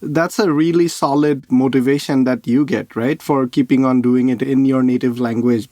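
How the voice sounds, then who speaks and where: A man interviewing his podcast co-host, curious and easygoing, who asks friendly follow-up questions. His voice sits at an ordinary middle pitch and sounds dry and close, with no room around it. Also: a little mellow, no room sound. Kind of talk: casual conversational interview.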